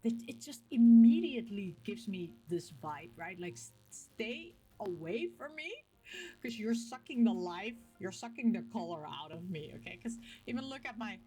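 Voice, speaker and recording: A woman from the Netherlands with a Dutch accent chats casually to her viewers as a gaming vlogger, telling things in an animated, playfully emphatic way with little acted-out quotes. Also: mid-range voice, moderate pace, over music, poor audio. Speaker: video game vlogger and host. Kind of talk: casual, chatty talk to camera.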